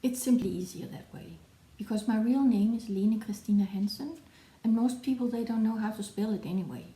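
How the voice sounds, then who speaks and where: A woman's voice: mid-range voice, a little fast, dark tone. A woman from Denmark, a fantasy writer talking in a friendly, earnest way in her living room. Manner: friendly, earnest, explaining plainly. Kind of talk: scripted conversation. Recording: echoey room.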